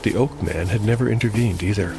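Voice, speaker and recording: A man reading scripted dark fantasy narration in a measured storytelling manner. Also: fairly low pitch, vocal fry. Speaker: narrator and host. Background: music.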